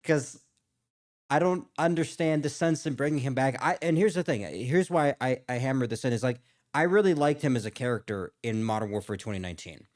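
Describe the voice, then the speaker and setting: A man's voice: medium pitch, a little fast, a little mellow. Casual guy rambling through gaming commentary. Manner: rambling, thinking aloud. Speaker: gaming reaction video host.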